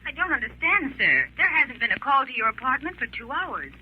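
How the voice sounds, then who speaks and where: Telephone operator, puzzled and courteous, lively high pitch, dull 1940s radio drama sound. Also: female voice, brisk. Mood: polite, puzzled. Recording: noisy, dull old radio recording.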